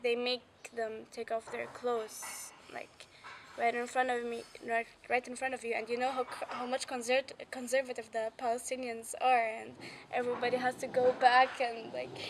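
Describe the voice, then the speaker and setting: Creaky, higher-pitched young woman. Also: slight background noise. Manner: filler-heavy, repeats words emphatically. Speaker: documentary interviewee. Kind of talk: casual personal account.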